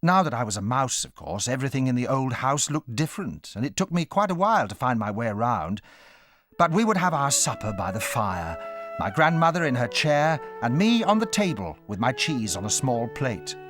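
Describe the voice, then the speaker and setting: An actor playing the narrator of a children's audio drama, telling his story in a measured, expressive way. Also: mid-range voice, soft background music. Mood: fond, content. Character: grown narrator recalling his boyhood as mouse.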